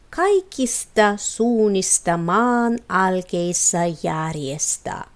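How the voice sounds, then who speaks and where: Woman telling a fairy tale, lively intonation. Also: medium pitch. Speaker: storyteller narrating a children's fairy tale. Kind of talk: expressive scripted storybook narration.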